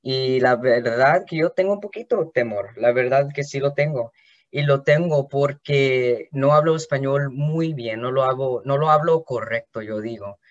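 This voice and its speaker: Slow, hesitant man on a podcast. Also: medium pitch, lo-fi sound. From Los Angeles, California. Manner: slow, hesitant, with many restarts. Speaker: podcast host. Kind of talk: personal monologue.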